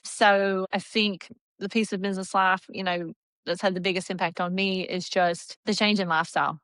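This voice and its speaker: Middle-aged woman, real estate agent guest; earnest, explanatory, medium pitch, dry close recording. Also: a bit bright, no room sound. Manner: earnest, explanatory, with many restarts. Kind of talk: conversational interview answers.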